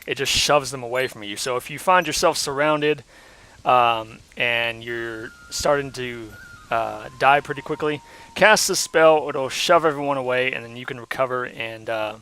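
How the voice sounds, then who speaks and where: A hoarse young man giving casual, rambling commentary while playing a game, with lots of ums and uhs. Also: medium pitch, normal speed, sharp tone. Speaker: let's play host.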